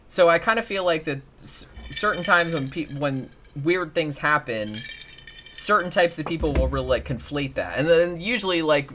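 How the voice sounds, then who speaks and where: The slightly high-voiced, sweary male co-host you would hear on a laid-back podcast, retelling things irreverently to a friend. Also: expressive, moderate pace, fairly bright tone, a little hiss or hum. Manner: slangy, profane, irreverent. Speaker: show co-host. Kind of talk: casual chat recapping paranormal stories.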